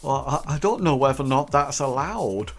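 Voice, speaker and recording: A man's voice: medium pitch, moderate pace, soft background music, lightly acted. A man voicing a nervous, eager young male recruit who stammers constantly. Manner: stammering, hesitant.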